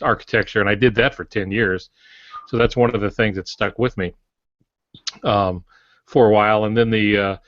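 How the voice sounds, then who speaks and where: Older guy, low voice, reflective podcast chat, hesitant, slightly muffled mic. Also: no echo. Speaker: author and podcast co-host. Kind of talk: casual reflective storytelling. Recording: dry but dull lo-fi audio.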